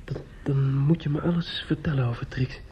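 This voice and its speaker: An actor playing a grieving, bitter and desperate young man in a radio drama, halting and often dropping into whispers. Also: medium pitch, fairly even intonation, lightly acted. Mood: pleading, hushed. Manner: halting, repetitive, drops into whispers. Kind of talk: radio drama monologue acting. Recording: dull, noisy old radio recording.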